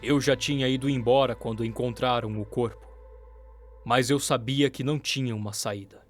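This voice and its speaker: A man's voice: mid-range voice, fairly even intonation, normal speed, lightly acted. A man playing a stern, dry-witted military commander in a sci-fi audio drama. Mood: grave. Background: music.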